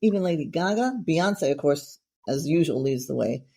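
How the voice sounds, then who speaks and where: A woman's voice: sits low, expressive, moderate pace, gravelly, warm tone, husky. A middle-aged woman hosting a casual interview, chatty and effusive, rambling from one thought to the next. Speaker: podcast host.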